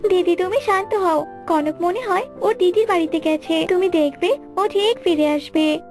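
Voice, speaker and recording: A woman's voice: extremely high pitch, moderate pace, a little mellow, faint music behind. A woman voicing a worried, caring mother bird in a children's fairy-tale cartoon, speaking earnestly and imploringly. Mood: concerned soothing.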